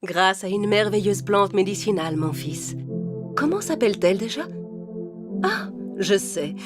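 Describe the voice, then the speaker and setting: A woman's voice: medium pitch, lively intonation, a little slow, natural voice. A woman playing a kindly father king in a children's cartoon fairy tale. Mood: pleased, musing. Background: music.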